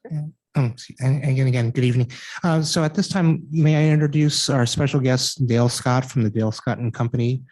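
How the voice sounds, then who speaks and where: A man's voice: mid-range voice, steady tone, a little fast, a little mellow, dry recording, poor audio. A man on staff formally introducing a guest speaker at a board meeting.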